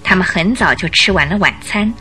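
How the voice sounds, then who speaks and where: A woman narrating a Mandarin radio drama in a scripted, expressive storytelling style. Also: lowish voice, brisk, faint music behind.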